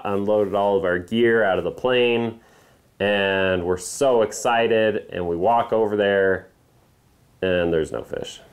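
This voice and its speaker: A man in his thirties with a very low, deep voice tells a fishing story to the camera in a sit-down documentary interview. He speaks slowly and takes his time. He is recorded indoors on an off-camera interview mic. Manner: unhurried storytelling, recounting a trip. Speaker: fly fishing filmmaker. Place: indoor studio with wood-plank backdrop.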